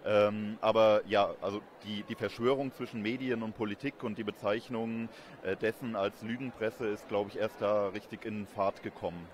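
A man in his thirties giving measured, explanatory answers as an interviewed academic expert. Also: on the low side, steady tone. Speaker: interviewed expert, communication professor. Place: busy event courtyard in daylight. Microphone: handheld reporter microphone held close. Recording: outdoor urban ambience behind voice.

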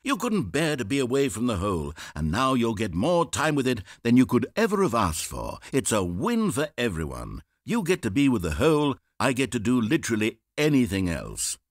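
Sardonic, fussy game narrator. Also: male voice, medium pitch, huge pitch swings, normal speed, hardly any pauses, dry recording. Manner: dry, sarcastic, passive-aggressive. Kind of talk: scripted game voice-over. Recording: dry studio voice-over.

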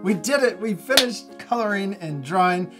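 A man's voice: sits high, animated, normal speed, loud music behind the voice. A man in his thirties hosts a children's drawing lesson. He talks casually, step by step, with an encouraging manner and frequent chuckles. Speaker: drawing instructor and host. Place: indoor studio, at a table.

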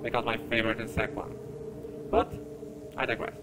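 A man's voice: mid-range voice, fairly quick. A man with a Brazilian accent, a native Portuguese speaker, giving casual, hesitant commentary as he plays a game. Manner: hesitant, expressive. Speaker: gamer, gameplay host. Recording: clear background noise.

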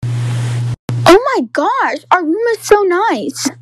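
Woman acting a high-pitched, excitable camper girl. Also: very slow, full character voice, poor audio. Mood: excited. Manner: pleading, breathlessly dramatic.

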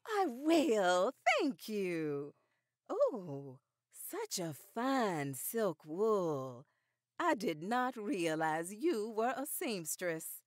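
Animated, poised lady activist. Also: mid-range voice, extremely slow, no echo, studio quality. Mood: gracious. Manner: formal, precise diction. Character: poised, formidable older lady activist of the 1910s. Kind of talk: period radio drama acting.

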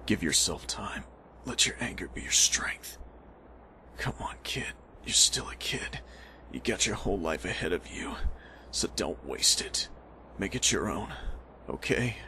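Man as a low, gruff, fading protector. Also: animated, pushed and bright, a little hiss or hum, exaggerated voice. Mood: tender, weak. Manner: low, breathy, halting. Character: gruff, protective dying young man in an anime.

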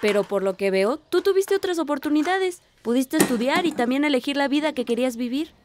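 A woman's voice: high-pitched, lively intonation, a little fast, noisy background. A woman playing a curious, admiring, outspoken young village niece in a radio drama, eager and questioning.

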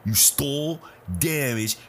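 An actor playing a dry, deadpan police colleague in a comedy radio play. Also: male voice, medium pitch, slow, slight background noise.

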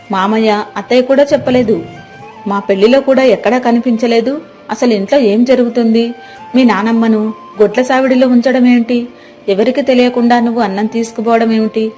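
A woman's voice: on the high side, mellow, natural voice. A woman voicing a kind, concerned young daughter-in-law in a moral story. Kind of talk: scripted moral-story dialogue.

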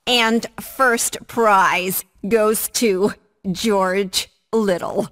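A woman playing a formal schoolteacher in a video game, announcing in a deliberate, ceremonial manner. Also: medium pitch, steady tone, a bit bright, a little hiss or hum.

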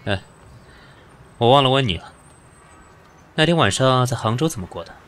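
An actor playing a proud, cool company boss with a condescending, sarcastic manner in an audio drama. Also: male voice, mid-range voice, animated, normal speed, fairly bright tone, close to an everyday voice. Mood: cool, needling. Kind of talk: modern audio drama acting.

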